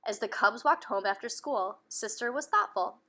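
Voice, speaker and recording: A woman reading a children's story aloud in an animated manner, pausing to put questions to young listeners. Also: fairly high pitch. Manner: animated, addresses children directly. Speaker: picture-book reader.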